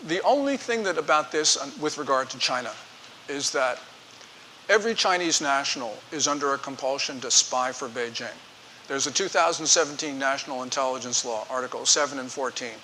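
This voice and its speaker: A man's voice: mid-range voice, pushed and bright. A middle-aged man giving an emphatic, editorializing talk as guest speaker, answering audience questions. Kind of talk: lecture with audience Q&A. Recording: reverberant hall with faint hiss.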